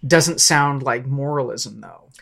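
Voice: medium pitch, takes it slowly, creaky, fairly bright tone, husky. A person in a casual, reflective podcast discussion, thinking aloud hesitantly and correcting themselves as they go.

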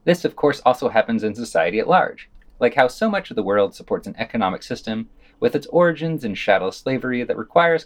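A man hosting a podcast, reading scripted news commentary and quotations with dry, opinionated asides. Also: medium pitch.

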